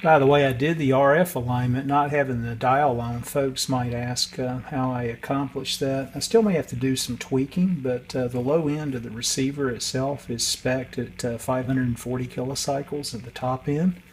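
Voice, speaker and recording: A man's voice: mid-range voice, fairly even intonation, normal speed, few pauses, a little hiss or hum, rough recording. A man giving a casual, unscripted workbench walkthrough in a hesitant, matter-of-fact way. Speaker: radio restorer and video host.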